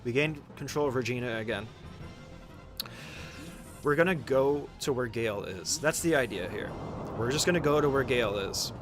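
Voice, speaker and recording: A man gives a casual, informal walkthrough of a video game speedrun in a mid-pitched voice. He explains the route step by step like a tutorial, with loose language and some swearing. Soft music plays behind him. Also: moderate pace, pauses often.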